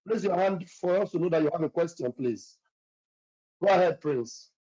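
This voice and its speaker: A man's voice: on the high side, normal speed, warm tone. A man moderating a live audio chat room, giving firm, repeated directions to the callers. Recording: lo-fi online audio stream.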